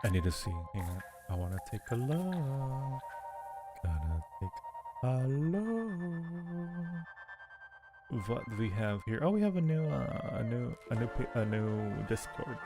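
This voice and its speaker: A man's voice: medium pitch, big pitch swings, a little slow. A man hosting a livestream in casual chat, playful and fond of repeating his phrases, with faint music behind him. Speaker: livestream host.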